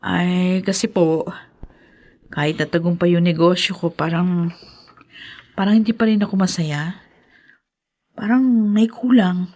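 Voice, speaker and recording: A woman's voice: on the low side, steady tone, measured pace, pauses often, a little mellow. A woman playing an earnest, respectful young businesswoman in a Filipino radio drama, with a Filipino accent. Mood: wistful.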